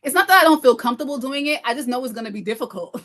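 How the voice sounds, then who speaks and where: A woman hosting a casual livestream, reading out comments and chatting in a quick, stumbling way, often repeating her phrases. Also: high voice, animated, a bit bright. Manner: talks fast, stumbling and repeating herself. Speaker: livestream host and content creator. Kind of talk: casual live chat reading viewer comments aloud.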